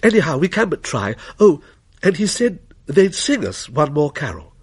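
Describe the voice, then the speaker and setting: Ghost story mother, acted by a man: raised pitch, swooping delivery, anxious, placating, trying to keep control. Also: sing-song, normal speed, husky. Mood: composed, placating. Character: anxious wife and mother, placating, self-possessed turning pleading. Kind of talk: dramatic ghost story reading.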